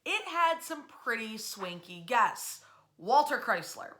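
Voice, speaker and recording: Bright-voiced woman telling history stories. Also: on the high side, sing-song, takes it slowly. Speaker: history storyteller and host. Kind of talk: informal storytelling talk about local history.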